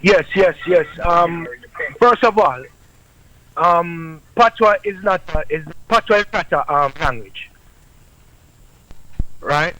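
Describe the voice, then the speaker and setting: A man's voice: on the high side, takes it slowly, long pauses, pushed and bright, lo-fi sound. A man calling a radio show, giving his opinion in casual conversation. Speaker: radio caller.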